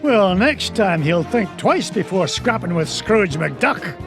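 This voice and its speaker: Casting: a bold old Scottish duck uncle for a cartoon game, high voice, very animated delivery. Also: male voice, slow, music in the background, heavily acted. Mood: blustery, intrigued.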